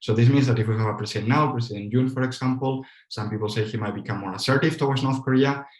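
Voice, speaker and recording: A man speaking as a guest expert on a webinar panel over a video call, measured with some fillers. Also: medium pitch, reverberant, low-quality recording. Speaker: guest expert on Korea policy.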